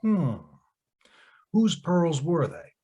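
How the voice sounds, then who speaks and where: An actor playing a laconic, shrewd police lieutenant in an audio drama, speaking in a terse, dry, husky way. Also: male voice, fairly high pitch, animated, drawn-out words, dark tone, exaggerated voice. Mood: dry, guarded.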